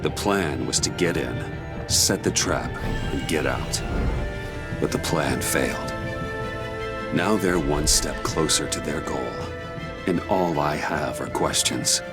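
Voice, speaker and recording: Super deep brooding hero man in a video game, grave, short lines, music under it. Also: slow. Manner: grave, terse. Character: brooding male vigilante hero, grave and guarded. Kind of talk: video game voice acting, scripted narration.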